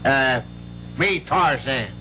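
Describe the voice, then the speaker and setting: An actor playing a hearty, affectionate uncle with effusive, joking speech in a radio drama. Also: male voice, medium pitch, animated, takes it slowly, pushed and bright. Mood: jovial. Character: hearty, jovial, flattering bachelor uncle. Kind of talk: 1970s radio drama acting. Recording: dull, noisy old radio broadcast.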